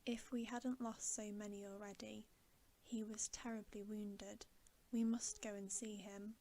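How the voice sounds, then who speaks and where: Hushed, slow woman reading ASMR bedtime story. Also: mid-range voice, flat delivery. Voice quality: soft, breathy, often whispered. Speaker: ASMR host reading aloud.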